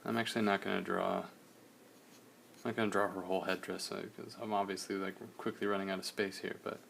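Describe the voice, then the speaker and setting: A young man, slightly hoarse, chatting casually in a relaxed, rambling way while he streams a drawing session. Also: sits low, fast talker, slight background noise. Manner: relaxed, rambling, many fillers.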